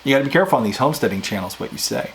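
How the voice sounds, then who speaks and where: A man hosting a homesteading Q&A, answering viewer questions in a casual, rambling way with a hoarse voice. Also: mid-range voice, expressive, racing, a little hiss or hum, low-quality recording. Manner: rambling, conversational, plain-spoken. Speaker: homesteading channel host.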